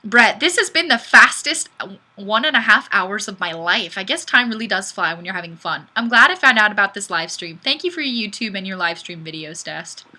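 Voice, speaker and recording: A woman hosting a casual live stream, chatting and reading comments in a goofy, animated, exclamatory way. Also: mid-range voice, a little fast. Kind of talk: casual chat, reading viewer comments aloud.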